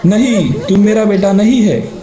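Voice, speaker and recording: A man's voice: high-pitched, a little slow, a crowd in the background. A man voicing a brave, devoted village father in a horror cartoon, earnest, in a very echoey recording. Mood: resolute. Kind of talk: Hindi horror cartoon story dialogue. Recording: very echoey, long reverb, music behind.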